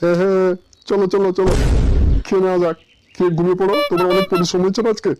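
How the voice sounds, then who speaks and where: A man voicing a scheming village husband in a comic cartoon, coaxing and flattering in hurried, repeated bursts. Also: high-pitched, background noise, lightly acted. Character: wheedling scheming village husband who flatters his wife. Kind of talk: comic Bengali cartoon dialogue.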